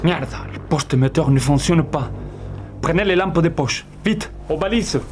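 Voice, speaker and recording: An actor playing a bossy wartime resistance radio operator, giving curt, urgent orders in an audio drama. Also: male voice, on the high side, expressive, normal speed, natural voice. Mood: urgent, commanding. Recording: loud music over the voice.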